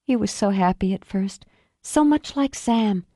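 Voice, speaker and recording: A woman voicing a distraught young mother who pleads through tears, her voice breaking high. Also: lively intonation, a little slow, warm tone, no room sound, clean studio sound. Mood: anguished. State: tearful.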